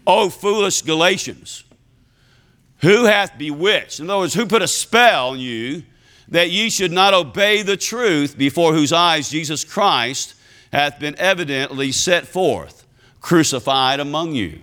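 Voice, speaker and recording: A man preaches in a folksy way, his voice scratchy from recent illness yet bright and forward. He calls out amen to the congregation and reads scripture slowly with rising emphasis. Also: fairly high pitch, expressive. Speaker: visiting preacher. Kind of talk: sermon.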